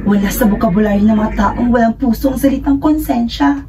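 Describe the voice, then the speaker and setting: A woman's voice: a little high, brisk, warm tone, natural voice. A woman with a Filipino accent playing an urgent, defiant student friend in an amateur radio drama. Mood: urgent, firm. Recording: distant mic, faint music.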